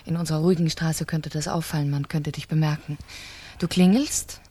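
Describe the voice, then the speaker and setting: An actress playing a cold, calculating wife who plots in a precise, commanding manner in a German radio crime drama. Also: female voice, sits low, fairly even intonation, a little fast, warm tone, slightly rough. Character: cold, calculating French wife plotting her husband's murder.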